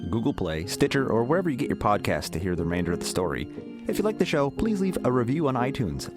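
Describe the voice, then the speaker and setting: A man serves as podcast announcer, reading a scripted sign-off. His voice sits low, and guitar music plays clearly behind him. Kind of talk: scripted outro narration.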